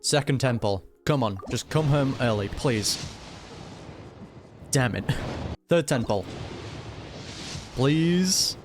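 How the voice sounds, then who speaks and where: A man's voice: medium pitch, expressive, takes it slowly, pauses often. A man giving casual, slangy live commentary as a gaming streamer, with wry asides. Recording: game music behind the commentary.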